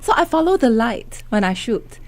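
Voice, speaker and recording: A woman photographer, a guest in a radio interview, whose voice sits a little high. She speaks reflectively, pausing often with small hesitations as she gathers her thoughts. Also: sing-song.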